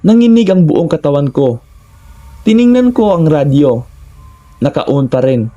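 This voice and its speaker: A Filipino man narrates horror in scripted first person. His tone is soft-edged and dark, pitched a little above average for a man. He builds suspense with dramatic storytelling. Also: sing-song, measured pace, dull audio.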